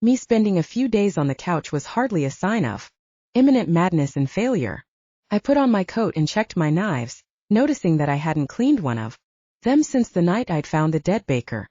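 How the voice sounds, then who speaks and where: A woman's voice: fairly low pitch, sing-song, moderate pace, mellow, no room sound, muffled recording. A woman reading first-person fiction as a scripted audiobook narration.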